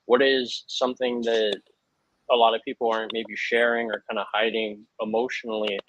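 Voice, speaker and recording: A man's voice: fairly low pitch, flat delivery, normal speed, fairly bright tone, a little hiss or hum. A man from Hawaii speaking as a panel guest, earnest and thoughtful, with frequent fillers. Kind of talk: reflective panel talk. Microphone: video call. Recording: dull lo-fi, dry sound.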